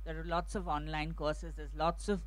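A woman's voice: sits low, flat delivery, normal speed. Hesitant woman translator on a festival panel. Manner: thoughtful, hesitant with many restarts and fillers. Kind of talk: panel discussion answers. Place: outdoor festival stage under a tent. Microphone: handheld mic.

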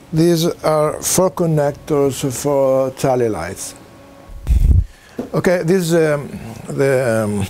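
Slow, halting older man, Italian accent. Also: medium pitch, dark tone. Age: 60s. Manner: halting, hesitant non-native English delivery. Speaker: presenter demonstrating homemade audio equipment. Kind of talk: unscripted equipment demonstration. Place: small cluttered home office, indoors. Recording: faint background noise, room ambience.

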